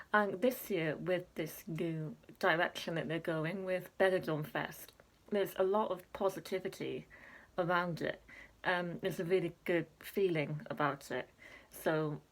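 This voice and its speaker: A young woman chatting casually to camera, filling pauses with ums and laughing at herself. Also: fairly low pitch, low-quality recording. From Wales. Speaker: Eurovision reaction vlogger.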